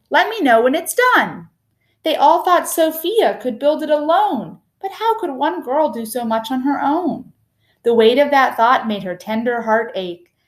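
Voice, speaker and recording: A woman reads a children's picture book aloud expressively, with a measured, rhythmic delivery of rhyming verse. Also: high-pitched.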